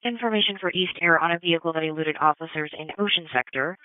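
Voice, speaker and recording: A woman's voice: low-pitched, fast talker, clear, resonant tone, no room sound. A woman working as an emergency dispatcher, reading out procedural details in a clipped, methodical way over police radio. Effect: police radio transmission. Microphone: radio dispatch microphone. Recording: narrow-band police scanner radio.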